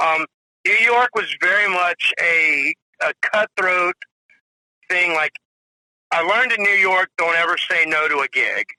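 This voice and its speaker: A man's voice: high-pitched, measured pace, pushed and bright, no room sound, poor audio. A man in his forties, a drummer guest telling rambling stories in a casual interview, full of "you know" and "if you will". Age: 40s.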